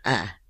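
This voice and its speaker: A jolly, joking landlady in a radio drama. Her husky voice is slow but lively in pitch. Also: full character voice. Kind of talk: Ilocano radio drama acting.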